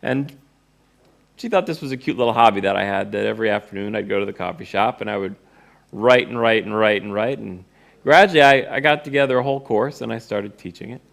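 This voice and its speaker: A man's voice: on the low side, brisk, vocal fry, fairly bright tone. A middle-aged man telling a personal story informally to an audience, with a wry way of speaking. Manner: wry, acts out remembered dialogue. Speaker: presenter, course teacher and author.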